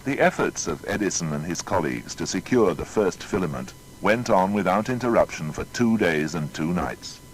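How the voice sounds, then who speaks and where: A man's voice: low voice, animated, moderate pace, few pauses, a little hiss or hum, poor audio. A man narrating a scripted biography in a dramatic, rhetorical manner.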